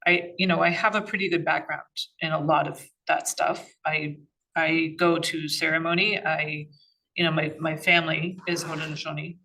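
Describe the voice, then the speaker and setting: A woman's voice: sits low, level tone, moderate pace, pushed and bright, far from the mic, poor audio. A woman in a workshop discussion, speaking thoughtfully with frequent restarts and "you know"s. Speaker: workshop participant. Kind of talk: reflective group discussion.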